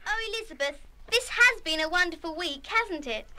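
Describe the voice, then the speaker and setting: An actress playing a lively, excitable young schoolchild in a radio play, exclaiming expressively. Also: female voice, extremely high pitch, normal speed, exaggerated voice. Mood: friendly. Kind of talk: full-cast children's radio play acting.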